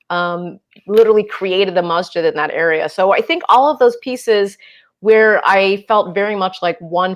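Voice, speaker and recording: A woman's voice: medium pitch, low-quality recording. A woman in her fifties from the American Midwest, whose first language is Urdu, speaks on a video call as an author panelist. She tells her story reflectively, with lots of fillers. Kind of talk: casual panel discussion.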